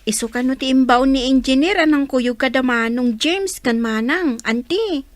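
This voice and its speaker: A woman's voice: sits high, normal speed, no room sound, close to an everyday voice. A woman playing a polite young village student in an Ilocano comedy radio drama, with a Filipino accent. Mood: polite, informative.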